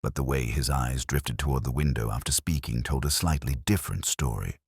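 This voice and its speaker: A man playing a brooding, introspective English police detective, narrating a mystery in measured, solemn, literary phrases. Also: very deep voice, gravelly, a little mellow, husky, dry recording, studio quality, heavily acted. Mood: introspective, melancholy. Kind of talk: scripted first-person mystery narration.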